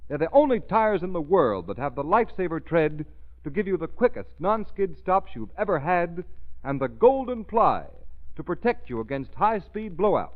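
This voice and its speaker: Tinny, animated male 1930s radio announcer. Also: a little high, normal speed. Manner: declamatory, urgent, formal. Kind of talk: scripted 1930s radio narration and ad. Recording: tinny 1930s radio recording, music behind.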